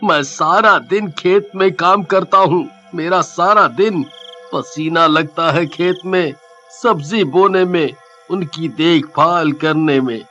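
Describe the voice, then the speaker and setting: A man voicing a poor, worried village farmer in a cartoon story, complaining in a plaintive, deliberate way. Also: high voice, sharp tone, dull audio, exaggerated voice. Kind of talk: cartoon moral story acting.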